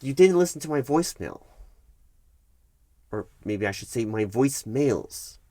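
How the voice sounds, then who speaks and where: A man playing a stern but patient HR manager in an ASMR roleplay, speaking formally and haltingly, repeating words for emphasis. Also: mid-range voice, animated, dark tone, no room sound, natural voice. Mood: firm, exasperated. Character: stern, patient male HR manager reprimanding an employee. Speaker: recruiting HR manager. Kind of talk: one-sided ASMR roleplay of a work meeting.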